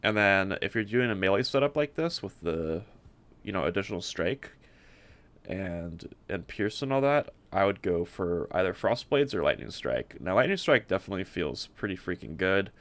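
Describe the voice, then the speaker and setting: Deep-voiced man, rambling casual game walkthrough. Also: very animated, fairly bright tone. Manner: rambling, halting, often repeating words. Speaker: game streamer presenting a character build.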